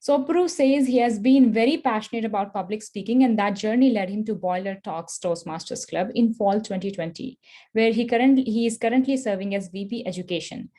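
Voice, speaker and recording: A woman's voice: mid-range voice, fairly quick, mellow. A woman moderating a panel, introducing speakers with a lot of hesitant fillers.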